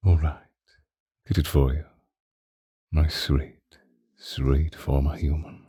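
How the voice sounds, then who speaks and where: Man playing a very deep, doting vampire lover. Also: little pitch movement, no room sound, clean studio sound, full character voice. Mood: tender, possessive. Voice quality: very deep, dark, husky, breathy, often whispering. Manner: slow, intimate, old-fashioned romantic wording. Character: possessive, doting ancient male vampire lover. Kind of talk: ASMR roleplay monologue. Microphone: close microphone, dry.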